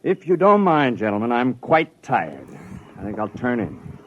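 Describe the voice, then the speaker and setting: A man's voice: medium pitch, sing-song, measured pace, a bit bright. An actor playing a reserved, polite, weary man in 1950s radio drama style. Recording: muffled, noisy 1950s radio recording.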